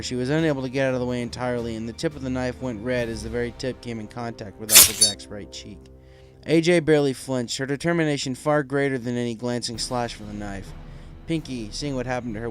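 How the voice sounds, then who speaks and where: A man giving scripted narration of a dramatic story as its narrator. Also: medium pitch.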